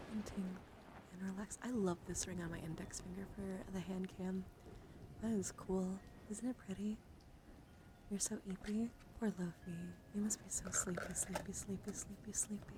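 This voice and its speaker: Soft-spoken woman doing whispery ASMR. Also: medium pitch. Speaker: livestream host. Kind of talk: ASMR, soft chat to livestream viewers with card reading. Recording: clearly audible ambient background noise.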